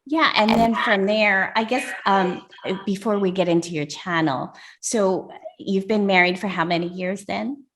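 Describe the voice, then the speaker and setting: A woman's voice: mid-range voice, measured pace, few pauses, fairly bright tone, low-quality recording. Lady interviewer, casual, lots of "excellent" and "right", encouraging. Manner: encouraging, short affirmations. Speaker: magazine interviewer.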